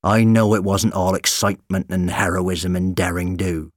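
A man voicing a serious, scholarly uncle with a measured, moralising way of talking, in an audiobook story. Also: deep voice, fairly even intonation, no echo, studio quality. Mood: grave. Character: sober, principled uncle with a scholarly interest.

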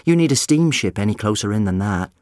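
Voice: mid-range voice, expressive, fast talker, a little mellow, no room sound, clean studio sound, lightly acted. A storyteller voicing a frank, sceptical woman who works in a pub, in an audiobook. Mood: explaining.

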